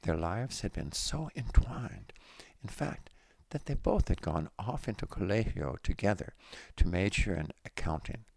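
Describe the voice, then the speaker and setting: Very deep, gravelly male story narrator. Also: big pitch swings, measured pace, no room sound. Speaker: audiobook-style reader of a story.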